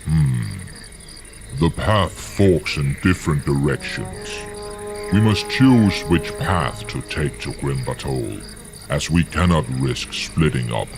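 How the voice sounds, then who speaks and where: A man's voice: very deep voice, lively intonation, slow, exaggerated voice. A man playing a stern, proud and impatient orc chieftain in a fantasy game, grave and commanding. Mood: grave resolve. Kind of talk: fantasy game cutscene acting. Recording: music under the voice.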